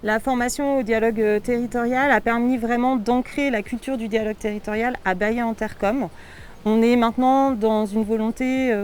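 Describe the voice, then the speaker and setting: A woman giving a prepared, measured testimonial as a programme coordinator. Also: on the high side, darkish tone, recorded from a distance, slight background noise. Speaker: local water and agriculture programme coordinator.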